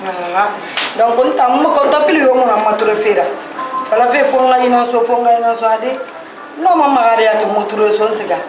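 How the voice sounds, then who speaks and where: A woman speaking to a gathering, calling out names one by one and praising each, lively and talkative. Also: fairly high pitch, moderate pace, music in the background. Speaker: participant at cooking event. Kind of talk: spontaneous public speech. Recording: echoey room with background noise.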